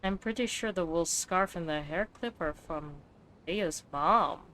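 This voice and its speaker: A woman's voice: on the low side, measured pace, pushed and bright, slight background noise. Woman casually reacting to a game. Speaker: gameplay streamer.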